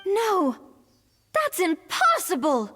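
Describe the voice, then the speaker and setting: A woman's voice: extremely high pitch, wildly swooping pitch, slow pace, full character voice. An actress playing a loyal, distraught young woman who protests indignantly in a video game. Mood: outraged. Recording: loud music underneath.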